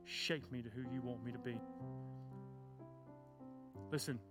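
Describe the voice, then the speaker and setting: A children's pastor, preaching fervently and then praying, loud and passionate at the peaks. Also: male voice, medium pitch, steady tone, measured pace. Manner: fervent shouting preacher, stomps and cries. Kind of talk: sermon and closing prayer. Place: church sanctuary. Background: soft guitar music.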